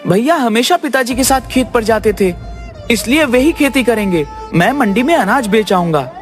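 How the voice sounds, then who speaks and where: A woman's voice: fairly high pitch, expressive, moderate pace, natural voice. A woman voicing a sly, self-serving young boy in a children's cartoon story. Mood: scheming. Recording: music behind the voice.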